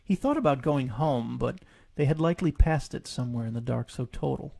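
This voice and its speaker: Mellow, gravelly man reading a story. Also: mid-range voice, brisk. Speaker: author reading his own story. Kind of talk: scripted audiobook narration.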